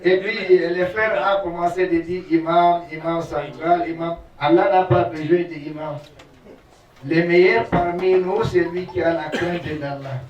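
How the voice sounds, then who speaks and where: Male preacher, Arabic native, fast Arabic recitation then slow broken French, fairly high voice, roomy distant sound. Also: steady tone, dull audio, faint music behind. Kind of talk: sermon.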